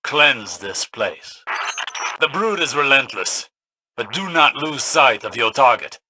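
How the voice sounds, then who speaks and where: An actor playing a stern, haughty older male warlock mentor in a video game, speaking in a formal, measured, lecturing way. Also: medium pitch, sing-song, background noise. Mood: commanding. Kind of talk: dramatic video game dialogue.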